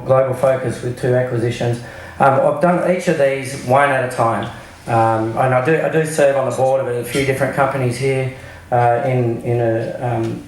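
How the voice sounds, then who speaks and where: Hoarse man at a mic. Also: mid-range voice. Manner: halting, many ums and restarts. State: hoarse from travel. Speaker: startup CEO giving a talk. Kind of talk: conversational business talk. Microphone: microphone. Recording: very echoey room, faint clatter of dishes.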